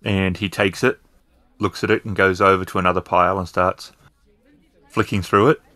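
Male game master, low register, dry sound, descriptive and a little hesitant. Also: little pitch movement, normal speed, bright, forceful tone, no room sound. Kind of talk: narrating a tabletop roleplaying game.

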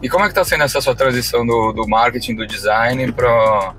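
A man's voice: mid-range voice, fairly quick, fairly bright tone, faint music behind. Easygoing man hosting from a driver's seat. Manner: easygoing, slangy, curious questions. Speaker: podcast host and rideshare driver. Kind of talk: casual chat. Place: parked car interior. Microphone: fixed dashboard camera.

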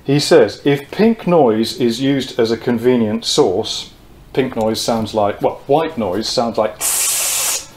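A man in his sixties gives an informal technical lecture, explaining in a measured way with pauses mid-phrase. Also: mid-range voice, darkish tone. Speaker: presenter of technical talks on loudspeakers. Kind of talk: informal whiteboard lecture, reading quotes from notes. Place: indoor room at a whiteboard.

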